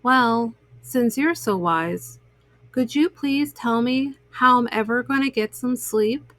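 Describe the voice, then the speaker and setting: A woman's voice: fairly high pitch, slow, a bit bright, dry recording. A woman voicing a polite, childlike little boy bunny in a bedtime storybook. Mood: weary.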